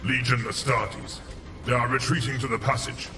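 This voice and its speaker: A man's voice: medium pitch, steady tone, moderate pace, noisy background, pitched above the performer's own voice, natural voice. A man voicing a proud, formal armored captain of the far future in declamatory, martial audio drama dialogue. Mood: commanding.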